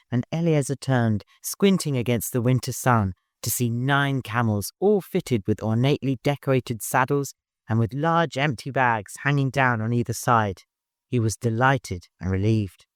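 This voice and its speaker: A man's voice: medium pitch, no room sound, clean studio sound. A man narrating a scripted story as an audiobook reader.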